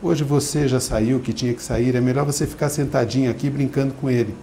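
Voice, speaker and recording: A man's voice: medium pitch, fairly even intonation, quick pace, dark tone, slight background noise, close to an everyday voice. A man reading aloud and voicing a frail, anxious mother who complains and frets. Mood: self-pitying. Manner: fretful, self-pitying.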